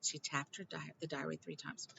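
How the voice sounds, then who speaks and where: A woman reading a children's novel aloud informally, with animated delivery and the odd stumble and aside. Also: sits low, moderate pace, creaky, lo-fi sound, slight background noise. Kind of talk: expressive amateur read-aloud with asides.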